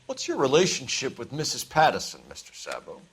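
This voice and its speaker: An actor playing a stern, righteous 1940s American police detective in a video game, with clipped, accusing questions. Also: male voice, medium pitch, wildly swooping pitch, fairly quick, a bit bright, husky, heavily acted. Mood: probing, skeptical. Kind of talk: dramatic video game acting.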